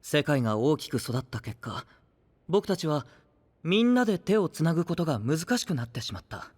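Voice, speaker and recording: An actor playing a gentle, idealistic young knight who leads an alliance, speaking softly and earnestly in anime audio drama style. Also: male voice, fairly high pitch, very animated. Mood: pensive. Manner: soft-spoken, earnest, measured.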